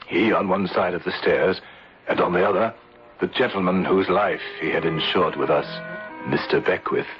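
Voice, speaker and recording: An actor playing a grave, protective insurance investigator in an old-time radio drama, husky, measured and formal. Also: male voice, low-pitched, fairly even intonation, music in the background, close to an everyday voice. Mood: grave narrating. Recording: dull old radio broadcast.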